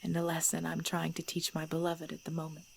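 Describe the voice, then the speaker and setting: A woman playing an ancient, composed vampire in a supernatural ASMR drama, speaking in measured, formal, deliberate phrases. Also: deep voice, gravelly. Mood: calm, enticing. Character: ancient female vampire, composed, knowing, darkly playful. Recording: steady background noise with chirping crickets.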